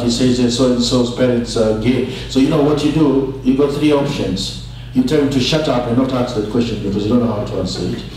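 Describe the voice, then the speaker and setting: A man's voice: mid-range voice, mellow. A man speaking informally on a panel, telling stories and posing rhetorical questions. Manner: rhetorical questions, conversational storytelling. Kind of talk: informal panel talk with anecdotes. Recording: echoey hall, distant mic, faint hum.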